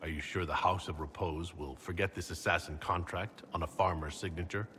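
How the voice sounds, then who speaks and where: Very deep-voiced, decisive fantasy leader. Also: male voice, fairly even intonation, a little fast, bright, forceful tone. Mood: blunt, serious. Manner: terse, direct. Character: commanding male fantasy leader, blunt and decisive. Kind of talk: scripted video game cutscene acting. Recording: long hall reverb, background ambience noise.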